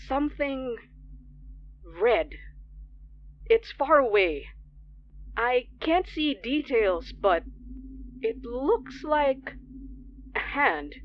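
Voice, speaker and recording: A high, very expressive voice of a frightened radio DJ in a horror drama. The delivery is slow, shaky and halting. The sound is dull and slightly noisy. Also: female voice, full character voice. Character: frightened young radio DJ trapped overnight in horror. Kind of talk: horror audio drama acting.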